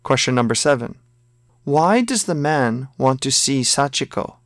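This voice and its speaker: A man's voice: mid-range voice, animated, fairly bright tone, no echo, clean studio sound. Man reading a listening test. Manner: slow, careful textbook reading. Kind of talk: scripted listening exam dialogue.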